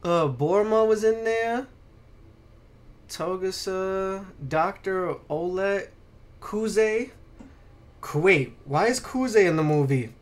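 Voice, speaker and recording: A man's voice: sits high, takes it slowly, long pauses. Blunt male podcast host, casual and profane. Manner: blunt, profane, animated when exasperated. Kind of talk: casual opinionated podcast chat.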